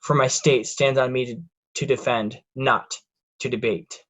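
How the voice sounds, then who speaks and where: A man playing a coldly ambitious, scheming nobleman in a Shakespeare reading, measured and commanding. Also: mid-range voice, fairly even intonation, fairly bright tone. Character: cold, ambitious, scheming male villain nobleman. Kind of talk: Shakespearean verse read in reader's theatre.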